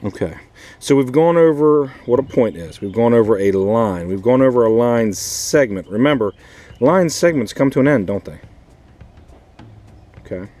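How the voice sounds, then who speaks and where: A man's voice: mid-range voice, animated, normal speed, warm tone. An American man teaching an informal math lesson as a schoolteacher, repeating key points and asking checking questions. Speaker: fourth grade math teacher.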